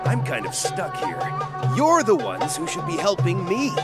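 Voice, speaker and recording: A blustering echidna adventurer in a video game. He is boastful and testy, with very animated intonation. Loud music runs underneath. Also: sits high, moderate pace. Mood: irritated. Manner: pompous, streetwise bluster. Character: boastful, gruff-tempered male cartoon echidna playing an adventurer. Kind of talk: video game cutscene acting.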